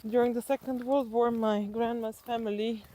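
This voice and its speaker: Mellow Slovenian-accented woman, thinking aloud. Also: fairly high pitch, fairly even intonation, moderate pace, low-quality recording. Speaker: interview guest. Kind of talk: reflective personal storytelling in conversation.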